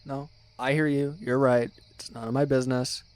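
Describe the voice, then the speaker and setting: A man acts out a young guy as the listener's loyal best buddy in an audio roleplay. He has a medium-pitched voice and talks in slangy, teasing banter, stumbling now and then as he goes. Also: level tone, moderate pace, a little mellow, clean tone, natural voice. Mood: gentle, coaxing. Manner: chummy, teasing, slangy, with hesitations and exclamations. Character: teasing, loyal young male best friend, excitable ASMR fan. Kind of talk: ASMR roleplay, one-sided casual banter with the listener.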